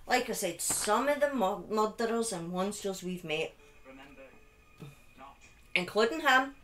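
A middle-aged British woman talks to her livestream audience as a storyteller. She has a slight speech impediment and an informal manner, with dramatic pauses, at a medium pitch close to a desk microphone. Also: expressive, fairly bright tone, a little hiss or hum. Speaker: live streamer telling true crime stories. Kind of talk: casual livestream chat and storytelling. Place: small dim room indoors. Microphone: desk microphone on a boom arm, close.

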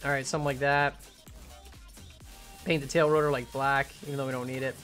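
Young man streaming, voice getting hoarse. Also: medium pitch, level tone, brisk, a bit bright, soft background music. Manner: rambling, self-correcting, thinks aloud. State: voice going hoarse. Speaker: game streamer. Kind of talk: casual live-stream commentary while building. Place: home room at a computer.

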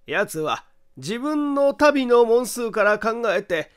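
Man acting a slow, high-voiced eerie detective. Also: very animated, clean tone, heavily acted. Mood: gleefully amused. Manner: deliberate, theatrical, amused emphasis. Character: eerie chuckling amateur detective, calm, relentlessly accusing man. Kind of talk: dramatic audiobook mystery acting.

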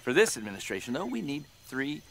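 An actor playing a jocular 1930s hitchhiking troubadour, rambling and wisecracking in folksy talking-blues style for radio drama. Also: male voice, medium pitch, very animated, fast talker, slight background noise. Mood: wry, spirited. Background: acoustic guitar.

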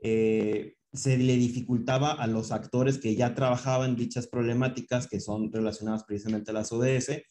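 A man's voice: mid-range voice, flat delivery, moderate pace, hardly any pauses, warm tone, slight background noise. A man of twenty-seven, speaking thoughtfully as a webinar panelist on a video call, often restarting words. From northern Mexico. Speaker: panelist, founder of a youth organization. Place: indoor office.

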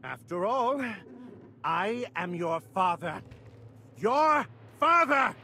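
Casting: a ruthless older boss villain, very high forceful voice, wildly swinging melodrama, game audio over noisy ambience. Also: male voice, very animated, slow pace, heavily acted. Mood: indignant pleading. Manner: domineering, melodramatic. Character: ruthless, self-important older male crime boss, villain. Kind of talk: theatrical video game villain acting.

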